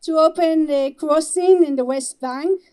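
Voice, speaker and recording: A middle-aged woman with an Israeli accent gives an earnest, halting speech as an organizer at a vigil. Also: high voice, a little mellow. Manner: earnest, halting, with restarts and pauses.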